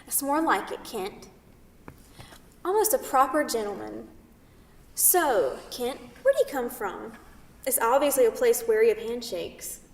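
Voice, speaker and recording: A woman's voice: on the high side, lively intonation, unhurried, pauses often. A woman reading the part of a brash, teasing New York City woman in a staged play, pushy and playfully sarcastic. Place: theatre, recorded from a distance. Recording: distant mic in echoey hall.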